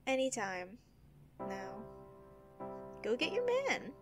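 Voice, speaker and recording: A high-pitched, motherly palace servant, acted by a woman. She is protective and sassy, with emphatic, caring delivery in an audio drama. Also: wildly swooping pitch, slow pace, loud music behind the voice, heavily acted.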